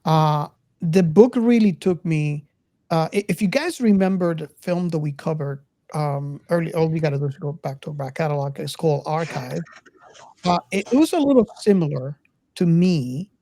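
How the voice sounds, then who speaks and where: A woman hosting a casual film discussion, speaking haltingly and repeating words as she goes. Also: low-pitched, animated, mellow, dry recording. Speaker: podcast host.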